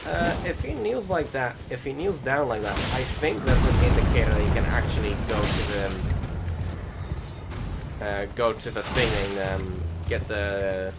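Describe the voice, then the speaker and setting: Loud music plays behind a man with a fairly low voice. He gives casual gameplay commentary as a voice-over, rambling and feeling for his words. His language is informal, with the odd swear word. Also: moderate pace. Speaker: game video commentator.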